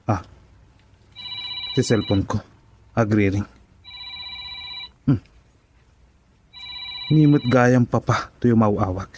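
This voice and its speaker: A man's voice: lowish voice, a little mellow, slight background noise. A man with an Ilocano accent playing an evasive young husband in a radio drama. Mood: musing. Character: evasive, busy young husband dodging his father-in-law.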